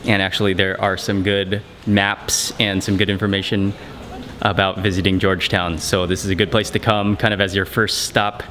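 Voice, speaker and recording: A man's voice: sits low, flat delivery, moderate pace, sharp tone, slight background noise. A man hosting a travel vlog, talking casually to the camera as he narrates his outing. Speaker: travel vlogger, host. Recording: music behind, outdoor street sounds. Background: music, street and vehicle sounds.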